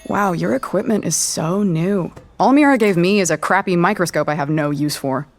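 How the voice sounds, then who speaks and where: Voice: medium pitch, moderate pace, soft background music, close to an everyday voice. An actor voicing a crewmate who gushes with envy and admiration. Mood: envious.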